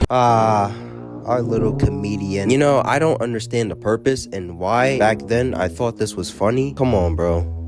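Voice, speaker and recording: A man's voice: on the low side, normal speed. A young American man telling a story casually, with slangy, conversational talk and free swearing. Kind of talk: casual story time narration. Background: music.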